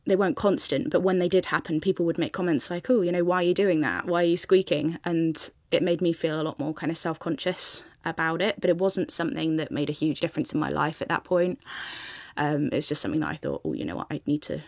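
A British woman recounts personal experience in a conversational way as an interview guest. Her voice sits low with a good deal of vocal fry, and the sound is close and dry. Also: quick pace, no room sound.

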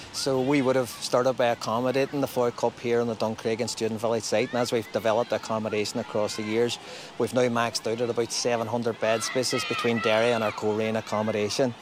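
A man's voice: medium pitch, background noise. A man, a university campus director, speaking in a news interview.

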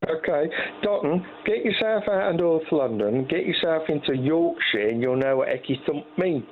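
A man's voice: fairly high pitch, lively intonation, moderate pace, a little hiss or hum. A man calling into a radio phone-in, hesitant with lots of ums but firm in his opinions. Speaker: caller. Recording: tinny phone line.

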